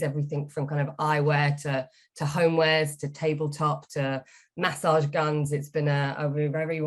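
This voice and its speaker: A woman's voice: low voice, a little mellow. A woman introducing herself as a panel judge, hesitant with many fillers. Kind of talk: casual self-introduction.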